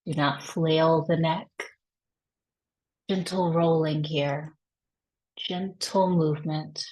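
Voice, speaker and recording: A woman guides a body scan practice in a somewhat low voice. Her delivery is very slow and unhurried. She gives each instruction gently and with reassurance. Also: steady tone, low-quality recording.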